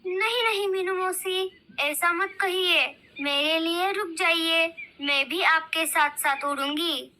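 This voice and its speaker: A woman's voice: very high-pitched, slow pace, few pauses, sharp tone, heavily acted. A woman voicing an anxious, naive young female bird in a children's cartoon, whiny and pleading. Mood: frightened, pleading. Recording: noticeable background noise.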